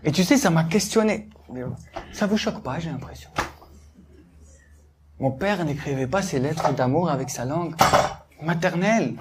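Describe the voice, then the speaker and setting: A man of Algerian family doing a stand-up routine, talking animatedly and building up to shouted punchlines. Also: a little high, expressive, normal speed, long pauses, background noise. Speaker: stand-up comedian.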